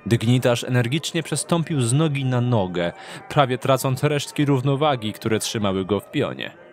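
A male narrator tells a scripted story in audio drama style. His voice sits at a medium pitch. Quiet music runs underneath. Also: lively intonation, normal speed. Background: background music.

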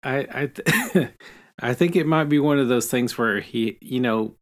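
A man's voice: medium pitch, a little slow. A man chatting casually as the co-host of a podcast. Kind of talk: casual chat.

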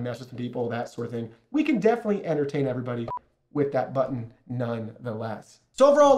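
A man's voice: fairly high pitch, a little mellow. A man in his thirties giving a casual, enthusiastic product review to camera, addressing viewers directly. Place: small plain indoor studio, seated at a desk.

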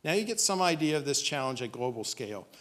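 A man giving a business pitch presentation as a startup presenter, speaking on stage into a podium microphone. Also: very animated, quick pace, a bit bright. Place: on stage in a dim auditorium.

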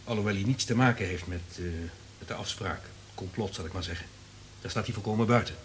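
An actor playing a wary, calculating subordinate in a radio play, deferential but insistent. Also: male voice, on the low side, fast talker, a little hiss or hum, natural voice.

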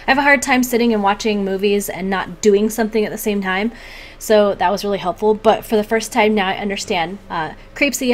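A woman in her thirties chatting casually and animatedly to the camera as a vlogger. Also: on the high side. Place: small home room, indoors.

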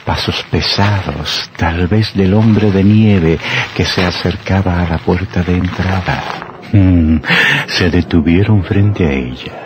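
An actor playing a sinister, mocking horror narrator in an old radio drama, theatrical, with drawn-out words and dramatic pauses. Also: male voice, deep voice, background noise. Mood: suspenseful. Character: sinister male horror storyteller, slow, mocking, gleefully macabre.

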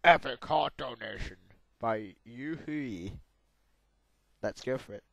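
Hoarse young man with a sore throat. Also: medium pitch, takes it slowly. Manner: excitable, yelps and laughs at crashes. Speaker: gamer. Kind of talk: let's play commentary.

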